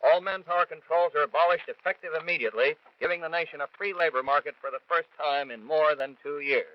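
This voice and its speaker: A man's voice: a little high, fast, dry recording. An American man delivering news reports in a 1940s radio style. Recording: dull vintage 1940s radio broadcast.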